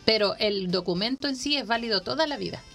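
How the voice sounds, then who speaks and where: Woman, from Honduras, admissions coordinator, fairly high voice, measured explaining, fillers and restarts, radio interview. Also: expressive, vocal fry, fairly bright tone, faint music behind. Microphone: radio studio microphone.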